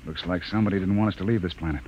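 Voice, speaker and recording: An actor playing a commanding, weary spaceship captain with clipped orders in an old science fiction radio drama. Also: male voice, low-pitched, very fast, breathy edge. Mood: grim. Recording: dull, noisy old radio recording.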